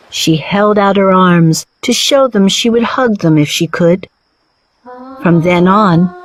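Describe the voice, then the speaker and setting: A woman reading a children's storybook as narrator, with measured, clearly phrased delivery. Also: mid-range voice.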